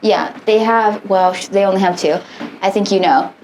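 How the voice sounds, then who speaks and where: A woman's voice: medium pitch, normal speed, a little mellow, a little hiss or hum, poor audio. A woman in her late twenties co-hosting a podcast in casual chat, rambling and swearing freely and trailing off mid-sentence. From United States. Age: 20s. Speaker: podcast co-host.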